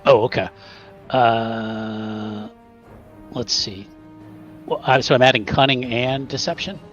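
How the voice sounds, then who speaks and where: A man's voice: mid-range voice, steady tone, slow pace, a bit bright, highs cut off, faint music behind. A man chatting casually as a player at a tabletop game, tentative and thinking aloud.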